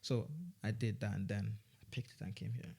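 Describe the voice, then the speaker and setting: A man's voice: fairly low pitch, steady tone, normal speed, creaky, warm tone, husky. A young man from Nigeria with a Nigerian accent, a student guest on a podcast, talking casually and rambling with many restarts. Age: young adult. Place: podcast studio.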